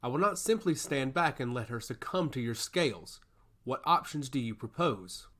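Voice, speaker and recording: A man's voice: mid-range voice, lively intonation, moderate pace, fairly bright tone. A man voicing a proud male god of chaos in a storybook, speaking earnestly and formally. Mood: defiant.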